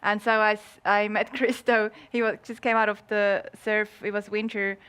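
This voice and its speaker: A woman with a Swiss accent speaks in a medium-pitched voice as a guest on an interview. She is casual and chatty, with a playful, joking way of telling things. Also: little pitch movement, moderate pace, fairly bright tone, clean tone. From Switzerland. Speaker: interview guest, marketing entrepreneur.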